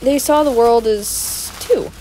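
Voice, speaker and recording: A woman playing a playful, excitable young male adventurer in an audio roleplay, chatty and expressive, affectionate with a partner. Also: a little high, slow pace, a little hiss or hum, low-quality recording. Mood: curious, playful. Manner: breezy, giggly, expressive, chatty.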